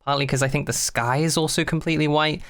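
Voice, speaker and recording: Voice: medium pitch, fairly even intonation, fast talker, a bit bright. A podcast co-host chatting casually about a sci-fi show in a wry, jokey way.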